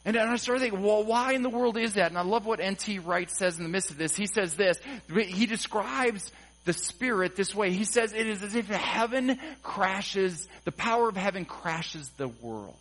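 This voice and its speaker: A man preaching a sermon, casual and playful, acting out quoted dialogue and building to excited peaks. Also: high-pitched, moderate pace, poor audio. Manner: conversational, acts out quotes with humor, rising excitement. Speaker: pastor.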